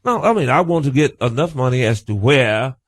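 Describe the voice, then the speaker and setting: A man talks as a combative radio guest at a medium pitch. His speech is halting and stammering, folksy and salty, and he holds his ground stubbornly. The recording is dry and free of room sound. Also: expressive, no echo. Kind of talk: unscripted, combative radio conversation.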